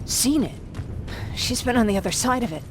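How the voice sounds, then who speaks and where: Casting: actress for young game survivor, alert and informal, fairly high voice over clear rumbling noise. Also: female voice, expressive, moderate pace, close to an everyday voice. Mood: tense. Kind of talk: video game dramatic acting.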